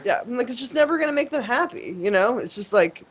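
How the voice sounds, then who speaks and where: A woman's voice: a little high, lively intonation, quick pace, husky, poor audio. Chatty woman rambling with heavy fillers. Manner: rambling, profane, frequent fillers and restarts. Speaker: interview guest. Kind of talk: casual conversation.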